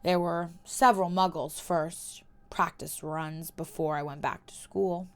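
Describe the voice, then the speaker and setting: A woman voicing a cold, taunting young male wizard in a story reading, speaking with quiet, measured menace. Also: on the low side, steady tone. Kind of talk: reading a fan story aloud.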